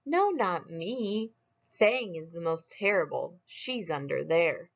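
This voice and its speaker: A woman voicing a small male beast in a storybook, slow and matter-of-fact. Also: lowish voice, lively intonation, vocal fry, heavily acted. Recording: dull lo-fi recording.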